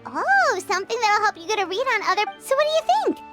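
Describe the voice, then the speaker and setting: A tiny, cheerful floating companion from a video game. The childlike voice is squeaky, extremely high and swings up and down with exclamations, over loud playful music. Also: female voice, sing-song, quick pace. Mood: curious. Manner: refers to self in third person, exclaiming. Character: small childlike floating girl companion, cheerful, food-loving.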